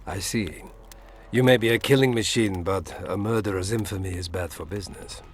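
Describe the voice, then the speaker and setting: An actor playing a courteous, scheming middle-aged Imperial ambassador in a fantasy game, formal and politely menacing. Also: male voice, fairly low pitch, moderate pace, slight background noise, close to an everyday voice. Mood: coolly courteous. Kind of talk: scripted fantasy game dialogue.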